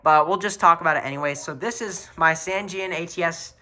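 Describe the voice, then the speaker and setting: Young man, bright sharp voice, casual video host, rambling, repetitive, blunt, off-the-cuff. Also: a little high, fairly quick. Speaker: video host showing a radio collection. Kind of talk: casual off-the-cuff show-and-tell.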